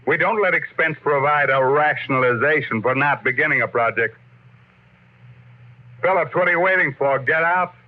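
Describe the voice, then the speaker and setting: A man's voice: on the high side, moderate pace, slight background noise, lightly acted. Casting: male actor for ruthless, commanding industrial tycoon, declamatory 1950s radio style, narrow vintage broadcast sound. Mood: cold, angry. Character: imperious, ruthless tycoon obsessed with conquering space flight. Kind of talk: 1950s radio drama acting.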